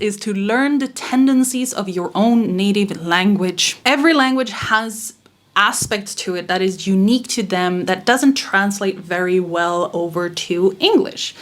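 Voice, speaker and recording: A woman's voice: medium pitch, a bit bright. A young woman from Sweden with a Swedish accent talks frankly and casually as a vlogger giving writing advice, laughing often and swearing now and then.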